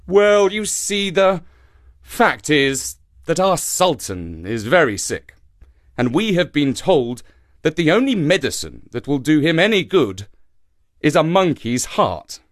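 A man's voice: a little high, big pitch swings, takes it slowly, pitched above the performer's own voice. A man voicing a friendly but scheming, rather gullible shark in an animal folktale, coaxing and emphatic. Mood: confiding.

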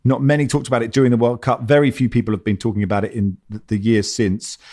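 A man's voice: medium pitch, little pitch movement, quick pace, a little mellow. A man hosting a football podcast, introducing the panel in an upbeat, chatty way. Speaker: podcast host.

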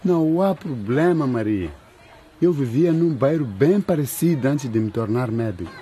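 An actor playing a patient doctor in a radio drama, calm and reassuring as he explains things. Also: mid-range voice, normal speed, a little hiss or hum, close to an everyday voice.

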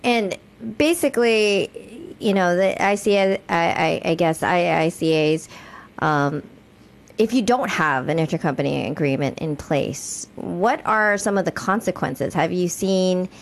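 A woman co-hosting a podcast and casually putting questions to guests in a halting, conversational way. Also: on the low side. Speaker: podcast co-host, interviewer.

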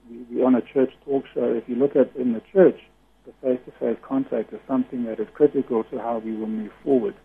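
Radio guest on the phone: a loud-voiced man, very husky, earnest and explanatory, tinny line. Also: medium pitch, steady tone, a little fast. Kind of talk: radio interview over the phone.

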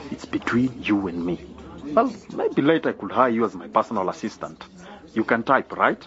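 A corrupt, menacing politician in a radio drama. The voice is low and smooth-talking with a bullying edge, on a somewhat muffled recording. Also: male voice, sing-song, moderate pace, crowd noise. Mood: sly, coaxing. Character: corrupt, menacing male politician offering bribes.

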